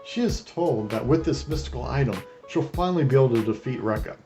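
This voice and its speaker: A man's voice: medium pitch, lively intonation, a little fast, over music. A man hosting a video review, narrating with wry, sardonic commentary and crude joking asides. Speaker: host of an anime retrospective review.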